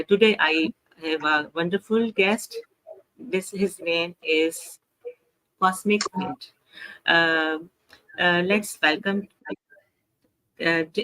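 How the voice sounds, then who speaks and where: A woman's voice: on the low side, a bit bright, rough recording. A woman from Pakistan, hosting an online interview show and speaking hesitantly with many fillers. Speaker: host. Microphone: video call.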